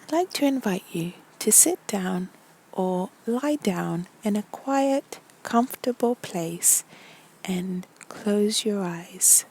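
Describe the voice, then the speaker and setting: A woman narrating a guided meditation story in a soothing, deliberate way, with a soft, breathy voice that often nears a whisper and many pauses between short phrases. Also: medium pitch, lively intonation, a little hiss or hum, low-quality recording.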